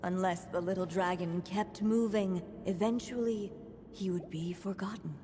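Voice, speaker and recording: A woman playing a gentle storybook narrator in a video game, telling a fairy tale in measured, solemn phrases. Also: on the low side, fairly even intonation, background noise, lightly acted. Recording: long reverb, music behind. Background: music.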